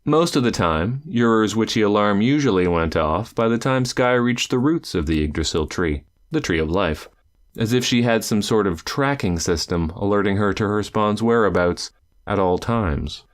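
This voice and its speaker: A man reading an audiobook aloud, narrating with measured, expressive pacing and dramatic pauses. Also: fairly low pitch.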